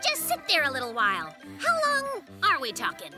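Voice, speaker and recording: An actor voicing an excitable, cocky little boy bear cub in a children's cartoon, boastful and full of exclamations. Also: high voice, normal speed, full character voice. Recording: loud children's music behind. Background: children's cartoon music.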